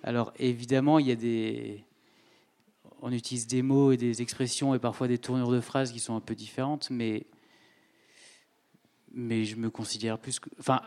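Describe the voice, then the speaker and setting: Man, author guest, relaxed, wry. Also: medium pitch, normal speed, pauses often. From Belgium. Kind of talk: casual discussion at a public reading.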